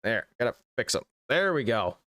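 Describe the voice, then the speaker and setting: A man's voice: medium pitch, big pitch swings, moderate pace, background noise. A man streaming a video game and chatting casually with viewers while losing his voice. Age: adult. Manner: chatty, reads chat aloud.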